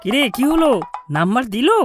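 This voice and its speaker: Someone doing an excitable bengali village lad, high squeal of a cartoon voice, swoopy, music behind. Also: a little fast. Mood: excited. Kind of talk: comedy cartoon voice acting.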